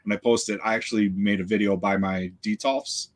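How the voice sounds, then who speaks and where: A man's voice: fairly low pitch, fairly even intonation, a little fast. A middle-aged man hosting a casual video chat from his home collection room, rambling easily and trailing off with "so". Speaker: podcast host.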